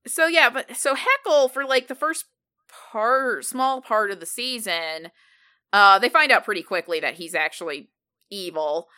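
A woman's voice: on the high side, expressive, measured pace, sharp tone, smooth. A woman co-hosting a casual fan podcast, joking and chatty with plenty of fillers. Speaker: podcast co-host.

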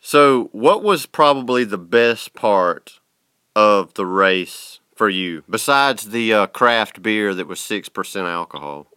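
A man's voice: lowish voice, animated, takes it slowly, pushed and bright, clean tone, no echo, studio quality. A man hosting a casual interview, asking questions and teasing his guest. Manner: joking, teasing. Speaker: interview host.

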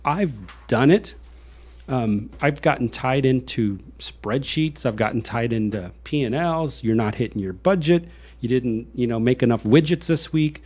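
A man's voice: mid-range voice, a little slow, a little mellow. A man with an American accent hosting a conversational interview, speaking earnestly in a rambling way with repeated phrases. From Iowa, United States. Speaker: show host. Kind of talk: conversational interview with personal reflection.

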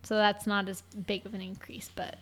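Casting note: young woman, mid-pitched, loud projecting voice, dry sarcastic podcast banter. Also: flat delivery, moderate pace. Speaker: podcast co-host. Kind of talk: casual chat. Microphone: podcast studio mic.

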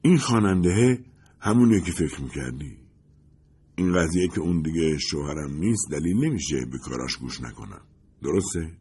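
A man's voice: rumbling low, animated, darkish tone, slightly rough, full character voice. A man voicing a talkative, glamorous woman who gushes and wants to be liked. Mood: eager. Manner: gushing, eager to please.

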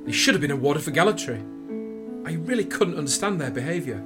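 A man playing a loyal, principled army captain in a radio play, earnest, measured and firm. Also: fairly high pitch, expressive, music in the background, close to an everyday voice. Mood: regretful. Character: loyal, principled British army captain defending young soldiers. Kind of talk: radio drama acting and narration.

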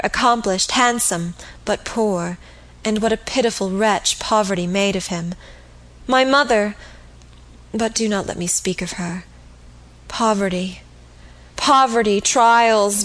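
A woman reading the part of a young, penniless governess who speaks with shrill, piercing passion. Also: fairly bright tone, low-quality recording.

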